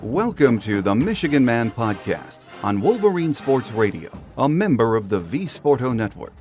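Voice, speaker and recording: A man's voice: mid-range voice, very animated, normal speed, music in the background. A man reading a polished, scripted podcast introduction as the show's announcer. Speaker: show announcer.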